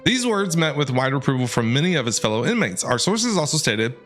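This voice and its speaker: A man's voice: mid-range voice, sing-song, fairly quick, fairly bright tone, faint music behind. A man streamer reading game text aloud expressively and reacting with casual, slangy asides.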